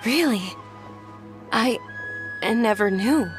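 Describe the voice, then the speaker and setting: Slow, gentle young noblewoman. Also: fairly high pitch, expressive, music in the background. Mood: quiet surprise. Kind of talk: dramatic video game dialogue.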